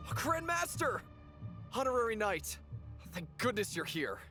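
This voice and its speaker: Very high-pitched young soldier. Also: male voice, big pitch swings, unhurried, over music, full character voice. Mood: relieved. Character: young male soldier hailing his commander. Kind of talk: video game voice acting.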